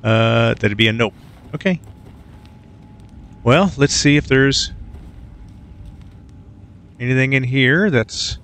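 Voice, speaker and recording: Easygoing gravelly-voiced man playing a game. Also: mid-range voice, slow, long pauses, a bit bright. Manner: easygoing, muttering to himself with filler sounds. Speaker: let's play gamer. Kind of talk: casual gameplay commentary.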